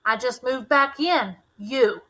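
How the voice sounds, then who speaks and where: Woman acting menacing drunk bully of a father, forceful. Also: sits high, slow. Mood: angry, bullying. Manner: barking, demanding, taunting.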